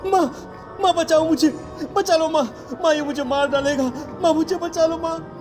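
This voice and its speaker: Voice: very high voice, fairly even intonation, normal speed, music in the background. A performer voicing a timid teenage schoolboy in a horror story, fearful and hesitant as he speaks. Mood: terrified.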